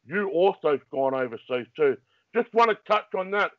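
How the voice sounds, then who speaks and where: A man's voice: a little high, lively intonation, normal speed, no room sound, narrow, tinny audio. A man with an Australian accent hosting an interview show, asking long, rambling, enthusiastic questions. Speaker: podcast host.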